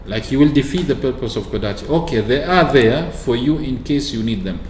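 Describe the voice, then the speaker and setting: A man from Oman chatting casually through a software walkthrough, explaining with fillers and restarts. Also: medium pitch, animated, a little fast, a little hiss or hum. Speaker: tech video host. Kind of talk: screen-recorded software walkthrough. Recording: echoey room with faint ambience.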